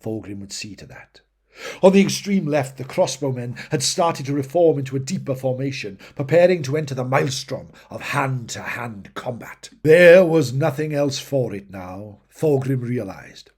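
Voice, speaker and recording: A man's voice: mid-range voice, lively intonation, normal speed, darkish tone, slightly rough. A man reading fantasy fiction aloud as dramatic scripted narration, with a theatrical, emphatic delivery that rises and falls in intensity. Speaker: audiobook reader of fantasy fiction.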